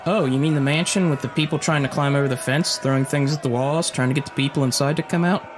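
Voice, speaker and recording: An actor playing a cocky, flirtatious acrobat and wisecracking survivor, glib and animated, in an audio drama. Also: male voice, on the high side, fast talker, music in the background, lightly acted. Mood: sardonic.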